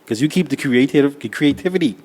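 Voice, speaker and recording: A man hosting a photography podcast, chatting in a relaxed, reflective way. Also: medium pitch, quick pace, husky. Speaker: podcast host. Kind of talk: casual interview chat.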